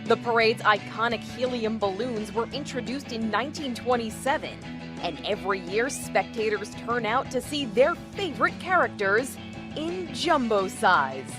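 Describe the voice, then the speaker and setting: A woman's voice: fairly high pitch, animated, normal speed, over music. A woman with an American accent reading scripted documentary narration. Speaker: video narrator.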